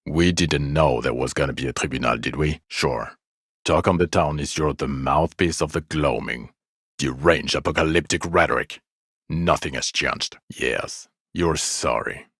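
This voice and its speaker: A man's voice: rumbling low, measured pace, a bit bright, breathy edge, no echo, heavily acted. A man voicing a bitter, exasperated police detective in a video game, with dry, cutting sarcasm and weary contempt. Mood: bitter, accusing. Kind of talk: dramatic game dialogue.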